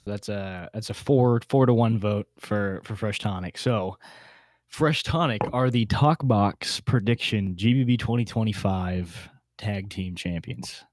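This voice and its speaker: A man's voice: fairly low pitch, a little slow, darkish tone, dry recording. A man hosting a casual panel discussion, often repeating words as he thinks aloud. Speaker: podcast host.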